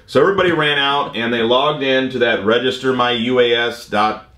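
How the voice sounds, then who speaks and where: A man's voice: mid-range voice, a little fast, sharp tone. An American man in his fifties giving an informal, conversational explanation as a vlog host, repeating his key points. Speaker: vlog host, commercial drone pilot. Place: indoor workshop or studio room. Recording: roomy, echoing indoor sound.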